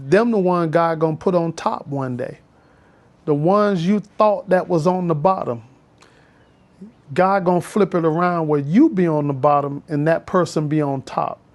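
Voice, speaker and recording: Slow, gravelly man in his fifties. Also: fairly high pitch, a little mellow. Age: 50s. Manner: preachy, repetitive, emphatic. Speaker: vlogger and school bus driver trainer. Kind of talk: casual testimony vlog. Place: on his porch outdoors.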